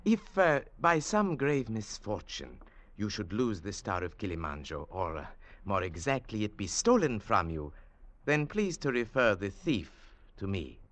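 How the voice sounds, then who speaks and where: An actor playing a suave, haughty Indian gem dealer in an old radio drama, speaking in a formal, pompous, silky manner. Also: male voice, lowish voice, big pitch swings, unhurried, a bit bright. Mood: silky, courteous.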